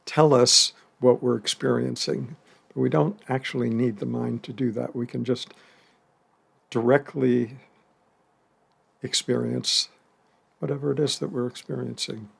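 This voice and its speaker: A man guides a meditation in a calm, unhurried way, leaving long pauses between phrases. His voice has a gravelly edge at a mid pitch, and a soft laugh slips in now and then. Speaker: meditation teacher.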